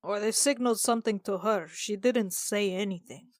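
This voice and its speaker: A woman acts a male adventurer in a tabletop game, terse and observant. The voice is mid-pitched and matter-of-fact, with a dry sound. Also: moderate pace, no room sound, lightly acted. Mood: insistent.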